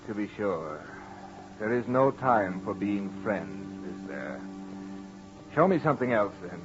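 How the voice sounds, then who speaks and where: A mocking young gentleman speaks with simmering menace in an old radio horror play. His pitch sweeps widely and theatrically over drawn-out phrases. The recording is muffled, with music underneath. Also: expressive. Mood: mocking, menacing. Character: sardonic young gentleman customer, suave and menacing, barely contained resentment. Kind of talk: 1940s radio horror acting.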